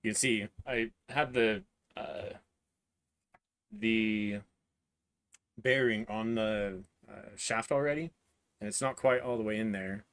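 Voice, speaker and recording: Hoarse guy in his 30s fumbling thru a build on stream, lowish voice, keeps clearing his throat. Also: a bit bright. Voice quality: voice cutting out, clears throat often. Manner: halting, searching for words, self-deprecating. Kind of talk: live build stream, casual talk while working.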